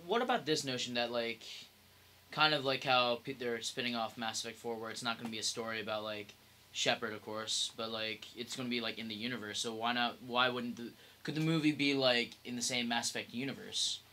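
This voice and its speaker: A man's voice: medium pitch, brisk, sharp tone, slight background noise. A young man co-hosting a podcast, chatting casually. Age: young adult. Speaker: podcast co-host. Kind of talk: casual chat.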